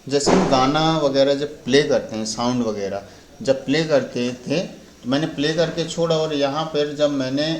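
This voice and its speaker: An Indian man with a mid-pitched voice walks through a repair in an informal way. He explains as a technician would, rephrasing and repeating points as he goes. The recording is roomy and echoey, with faint noise behind him. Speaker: phone repair technician giving a tutorial. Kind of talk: informal spoken repair walkthrough.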